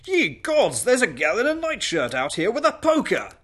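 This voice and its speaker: A man's voice: high voice, wildly swooping pitch, normal speed, a bit bright, voice pushed up, full character voice. A man playing a posh, hearty male dinner guest who blusters pompously in a storybook reading. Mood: astonished. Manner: pompous, blustering upper-class phrasing.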